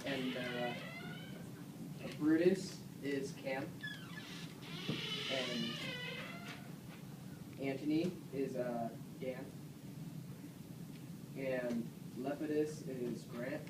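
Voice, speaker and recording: A man's voice: mid-range voice, fairly even intonation, very slow, long pauses. A man narrating a student puppet play, reading out cast names and stage directions. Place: classroom, voice from behind a puppet stage. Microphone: far camera. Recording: echoey classroom, distant mic, clear noise.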